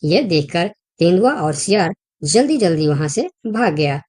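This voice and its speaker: Mellow low-voiced woman storyteller. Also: big pitch swings, normal speed, slightly rough. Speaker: story narrator. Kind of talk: scripted children's story narration.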